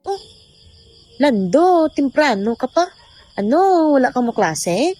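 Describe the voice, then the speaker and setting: A woman's voice: high voice, sing-song, slow pace, mellow, faint music behind, exaggerated voice. A young woman playing a fretful, caring mother in an amateur radio drama. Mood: concerned. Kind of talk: amateur radio drama acting in Filipino regional language.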